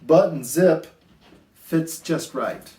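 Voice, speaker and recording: A man hosting a children's storytime, reading picture books aloud in an expressive, sing-song way with drawn-out phrases. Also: fairly high pitch, slight background noise. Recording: roomy, echoey indoor sound.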